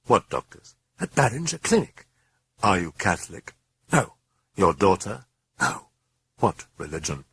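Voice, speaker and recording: A man voicing a calm Jesuit priest who asks measured, probing questions. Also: fairly low pitch, gravelly, husky, no room sound, full character voice. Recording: loud music behind the voice.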